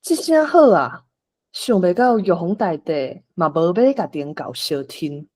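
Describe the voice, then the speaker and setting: Mellow Taiwanese woman telling a tale. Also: on the low side, lively intonation, unhurried. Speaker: storyteller, narrator. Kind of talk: scripted folk-tale narration.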